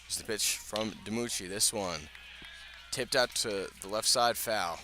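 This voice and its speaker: A man doing live radio baseball play-by-play, speaking in measured short phrases with pauses between them. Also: fairly low pitch, pushed and bright, a little hiss or hum. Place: outdoors at a ballpark.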